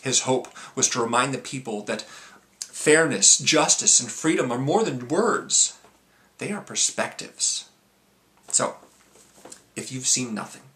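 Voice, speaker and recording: Man performing male revolutionary orator, film monologue, deliberate, theatrical, gravel in the voice, crisp bright tone. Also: medium pitch, full character voice. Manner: measured, deliberate, rhetorical. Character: eloquent male masked revolutionary addressing the nation.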